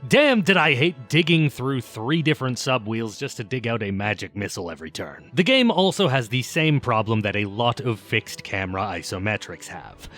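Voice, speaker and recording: A man's voice: mid-range voice, sing-song, moderate pace, hardly any pauses, a bit bright. A man narrates a video essay in an informal, opinionated style. His mid-range voice moves up and down a great deal, giving the scripted lines a casual, conversational swing. Faint music plays behind him.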